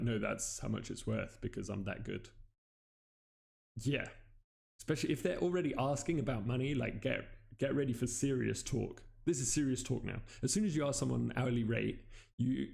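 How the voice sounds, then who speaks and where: A man's voice: mid-range voice, gravelly, darkish tone. A man hosting a casual stream, reading online posts aloud and commenting on them in a wry, offhand, teasing way.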